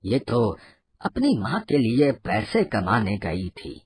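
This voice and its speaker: An actor plays a gentle old benefactor in a moral cartoon story. The voice is husky and slow, with hesitant, fatherly phrasing that swings widely in pitch. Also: wildly swooping pitch, dry recording, studio quality, exaggerated voice. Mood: earnest, advising. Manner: hesitant, drawn-out, fatherly delivery. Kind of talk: animated moral story dialogue.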